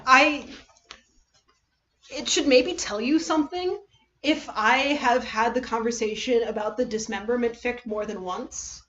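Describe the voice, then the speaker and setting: The recording is a little dull and lo-fi, like a home livestream. A woman with a somewhat high voice chats casually with her viewers. She rambles haltingly, trailing off and correcting herself as she goes. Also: a bit bright. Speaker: livestream host reading fan fiction.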